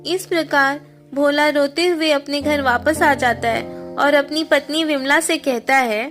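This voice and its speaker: A woman's voice: high-pitched, fairly even intonation, unhurried, over music. A woman reading scripted narration for a moral story as the storyteller.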